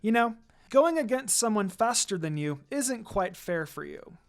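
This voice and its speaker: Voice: medium pitch, sing-song, unhurried. A cool young ninja woman, played by a story reader, sly and unbothered. She teases in a dry, understated, mocking way, as in an anime fan tale. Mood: coolly evasive.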